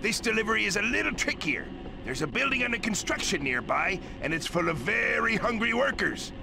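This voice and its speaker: An older, blustery crab restaurant boss for a cartoon game. The voice is high and gravelly and leaps widely in pitch, with a hearty, bossy sailor manner. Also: male voice, very animated, brisk, over music, full character voice. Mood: bossy, brisk. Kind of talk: cartoon video game acting.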